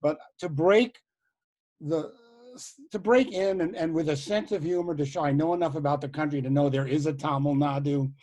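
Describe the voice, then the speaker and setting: A man's voice: a little high, steady tone, normal speed, a little mellow. A man telling a playful anecdote as a panelist on a video call, joking easily. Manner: jokey, playful storytelling. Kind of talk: casual anecdote.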